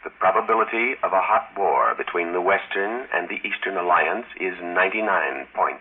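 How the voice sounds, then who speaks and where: An actor playing a cold, precise electronic brain in an old radio drama, speaking in measured, formal, mechanical phrases. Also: male voice, sits low, expressive, husky, heavily acted. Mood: flat, factual. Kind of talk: 1950s radio drama acting. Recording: tinny, band-limited vintage radio recording.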